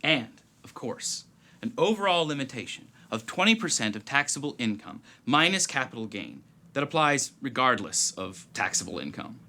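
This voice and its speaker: An actor playing a dry, clipped private investigator in a film-noir style skit, with a gravelly drawl. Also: male voice, mid-range voice, very animated, normal speed, pushed and bright. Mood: explaining. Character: hard-boiled male private eye, clipped and dry. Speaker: detective lead in a tax explainer skit.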